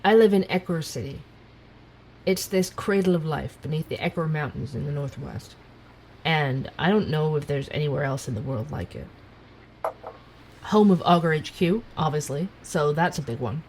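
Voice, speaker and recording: A man's voice: fairly high pitch, moderate pace, a little mellow, slight background noise, close to an everyday voice, low-quality recording. An actor playing an earnest, curious young man who hosts an amateur podcast, narrating an audio drama in a musing way. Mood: eager, chatty.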